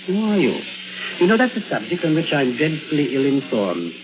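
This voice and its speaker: An actor playing an urbane, whimsically courteous psychologist with hesitant phrasing in a 1950s radio drama. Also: male voice, on the high side, wildly swooping pitch, fairly quick, over music. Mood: genial, whimsical. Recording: dull vintage 1950s radio recording.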